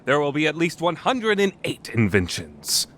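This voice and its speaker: A man's voice: fairly high pitch, wildly swooping pitch, moderate pace, a bit bright, a little hiss or hum. A man playing a grandiose, self-styled mad scientist in an anime fan dub, with pompous, dramatic delivery. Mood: boastful.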